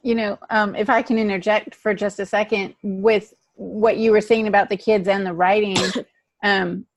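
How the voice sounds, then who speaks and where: A middle-aged woman speaks as a podcast co-host in a medium-pitched voice. She is conversational and tells things like a storyteller, in a dry recording with no room sound. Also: little pitch movement, moderate pace, no room sound. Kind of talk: casual panel discussion with anecdotes.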